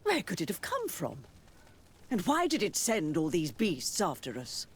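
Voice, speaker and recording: High-voiced, lilting courtly dignitary. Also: male voice, wildly swooping pitch, measured pace, slightly rough, exaggerated voice. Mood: gracious, inquiring. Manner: formal, ornate courtesy. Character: courtly male dignitary, gracious and grateful ruler. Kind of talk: video game cutscene acting.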